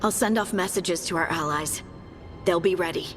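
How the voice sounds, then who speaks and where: Woman playing fantasy companion, assured, wry, lowish pitch, game cutscene, prominent music. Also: moderate pace, close to an everyday voice. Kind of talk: video game cutscene acting.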